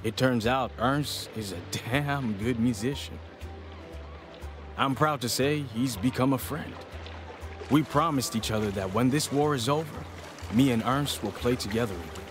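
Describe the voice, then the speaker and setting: A man's voice: mid-range voice, moderate pace, close to an everyday voice. Man as an earnest soldier over prominent music. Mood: sincere, hopeful. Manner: earnest, conversational. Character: sincere, warm-hearted soldier and musician writing to his brother. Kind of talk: letter read aloud as game voice-over.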